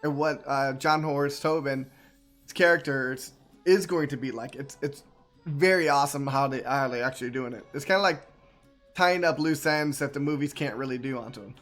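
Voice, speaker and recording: A man's voice: medium pitch, moderate pace, a bit bright, soft background music. A man streaming casual, rambling commentary on game cutscenes, enthusiastic and often repeating himself.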